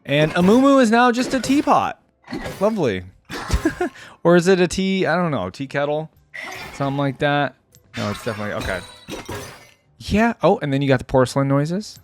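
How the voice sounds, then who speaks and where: A man's voice: fairly high pitch, very animated, moderate pace, pauses often. Man giving casual reaction commentary over music. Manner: musing, informal, thinking aloud. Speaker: reaction video host.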